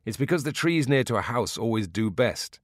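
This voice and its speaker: A man's voice: mid-range voice, animated, brisk, dry recording, studio quality, pitched above the performer's own voice, natural voice. A man voicing a genial, playful Greek villager explaining country lore in a folksy audiobook story. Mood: playful, wry.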